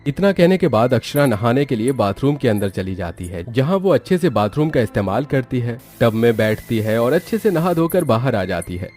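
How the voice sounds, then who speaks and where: A man's voice: mid-range voice, big pitch swings, brisk, few pauses, a little mellow, soft background music. A man narrating a scripted moral story.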